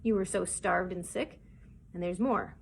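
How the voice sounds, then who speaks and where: A woman voicing a bold, wily male pirate captain in a storybook, with rough, cajoling sailor talk. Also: lowish voice, a little slow, a little hiss or hum. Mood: blustering, persuasive.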